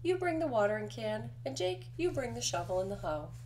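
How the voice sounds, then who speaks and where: A woman voicing an earnest, polite but easily exasperated young male rabbit in an expressive picture-book read-aloud. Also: a little high, brisk, slight background noise, pitched above the performer's own voice, rough recording. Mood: decisive.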